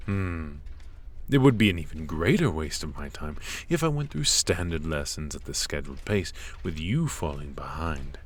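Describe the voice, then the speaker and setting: Man as royal magic professor, cold, strict, condescending, very deep voice, slow ASMR delivery. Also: slight background noise. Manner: stern and clipped; dry, condescending remarks. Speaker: voice actor in an ASMR roleplay. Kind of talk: ASMR roleplay.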